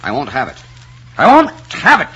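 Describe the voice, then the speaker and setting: An actor playing a stern, possessive older uncle with an emphatic, formal, commanding way of speaking in an old melodrama. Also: male voice, lowish voice, expressive, normal speed, natural voice. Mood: bitter, angry. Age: middle-aged. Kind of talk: old melodramatic film acting. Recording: muffled, noisy old film soundtrack.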